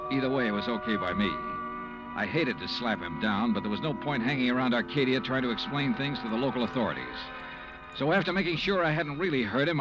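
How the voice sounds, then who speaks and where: A man acting a hardboiled insurance investigator with a mid-pitched, very lively delivery. He is dry, wry and brisk in old radio detective style, with music behind. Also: lightly acted, poor audio. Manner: brisk, dry, matter-of-fact.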